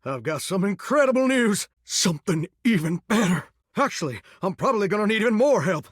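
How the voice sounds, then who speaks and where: A bubbly drawling showerhead. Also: male voice, sits high, very animated, brisk, no room sound, studio quality. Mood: upbeat. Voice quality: folksy drawl, bubbly and theatrical. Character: eager male talking showerhead with big pop-star dreams. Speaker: dating game character. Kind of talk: game voice acting.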